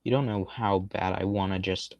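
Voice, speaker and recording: A man casually rambling through gameplay commentary on a stream, with a fairly monotone, laid-back and self-deprecating way of talking. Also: sits low, normal speed, no room sound, dull audio. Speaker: game streamer.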